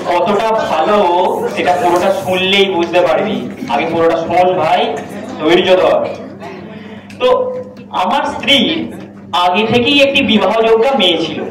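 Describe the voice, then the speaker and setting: A man's voice: sits high, moderate pace, pauses often, crowd noise, natural voice. A man playing an exasperated village husband in a comic audio drama, explaining his tangled family insistently, step by step. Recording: distant echoey hall recording with audience noise.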